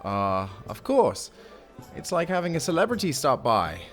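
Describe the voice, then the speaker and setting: A man's voice: on the high side, big pitch swings, normal speed, faint music behind. A man playing a self-satisfied tiefling tavern keeper in a fantasy audio drama, breezy, playful and smug. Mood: proud, casual.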